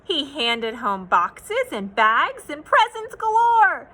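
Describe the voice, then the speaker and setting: A woman reading a children's picture book aloud in an expressive, playful, sing-song way, with asides to young listeners. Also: sits high, takes it slowly. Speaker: children's read-aloud storyteller.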